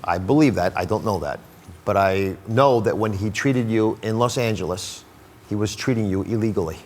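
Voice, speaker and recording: A man's voice: fairly low pitch, normal speed, darkish tone, slight background noise. A man hosting a TV health talk show, asking questions and narrating segments in an upbeat announcer manner. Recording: studio with music and crowd behind.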